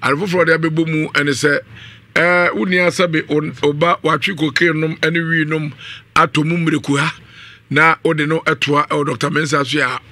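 Sharp, bright-voiced male radio host. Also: fairly high pitch, little pitch movement, moderate pace, no room sound. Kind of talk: radio interview and studio talk. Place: small indoor radio studio. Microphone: studio boom microphone with headphones.

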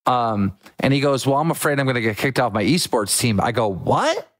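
Excitable young man telling a story. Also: medium pitch, no echo. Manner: excitable storyteller, quotes people in quick bursts. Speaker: podcast host. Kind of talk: casual podcast chat.

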